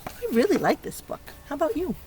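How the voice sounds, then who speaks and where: A woman teacher reading a picture book aloud to young children, stopping to ask questions and encourage them in an expressive way. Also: high-pitched, fast talker, low-quality recording. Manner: encouraging, praising child listeners; expressive, exclaiming and prompting. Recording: clear background noise.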